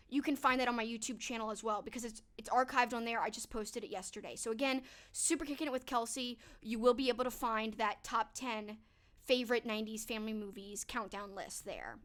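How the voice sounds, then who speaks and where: Bubbly high-voiced woman, a bit stuffed up. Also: a bit bright. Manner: upbeat, chatty, thanking viewers by name. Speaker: livestream host. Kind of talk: casual livestream wrap-up talking to chat.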